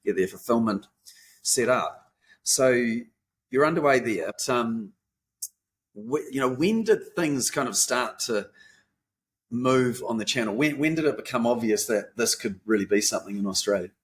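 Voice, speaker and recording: A man's voice: medium pitch, expressive, moderate pace. A man hosting a podcast interview, asking questions with frequent encouraging affirmations. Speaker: podcast host.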